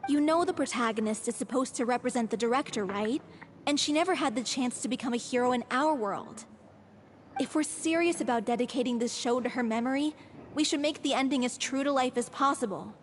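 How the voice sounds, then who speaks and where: Woman as a high-voiced, serious young troupe actress. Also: a little fast, a little hiss or hum, natural voice. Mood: challenging. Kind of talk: video game voice acting.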